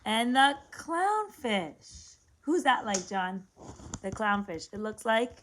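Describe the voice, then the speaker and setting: A woman's voice: fairly high pitch, big pitch swings, slow, fairly bright tone, a little hiss or hum. A woman reading a picture book aloud as a lesson for young children, explaining simply, asking questions and repeating words.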